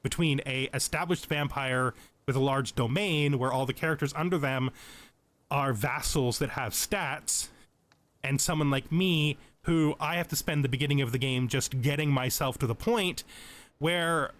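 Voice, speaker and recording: A man's voice: medium pitch, normal speed, fairly bright tone. A man with a mid-range voice hosts a video game tutorial. He speaks casually, explaining systems step by step and thinking aloud as he makes his choices. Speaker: let's play host giving a game tutorial. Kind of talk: casual gaming tutorial commentary.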